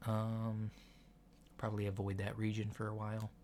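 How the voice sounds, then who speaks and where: A man playing a polite, curious alien doctor in a soft-spoken ASMR roleplay, talking in a halting, matter-of-fact way. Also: lowish voice, completely flat delivery, natural voice. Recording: lo-fi with clear background noise.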